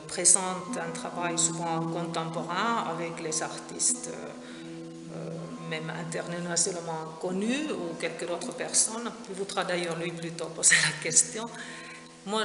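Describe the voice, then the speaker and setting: Middle-aged woman, halting delivery full of pauses and restarts, slightly gravelly, echoey room with music behind. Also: on the low side. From Finland. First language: Finnish. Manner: hesitant, many fillers and restarts. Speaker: visual artist. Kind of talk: interview at her exhibition. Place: gallery space with sound installation playing. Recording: roomy echo, music in background.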